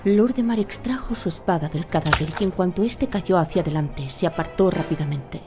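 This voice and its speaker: A woman's voice: lowish voice, a little fast, music in the background. A woman narrating a fantasy audio drama in a scripted, dramatic storytelling style.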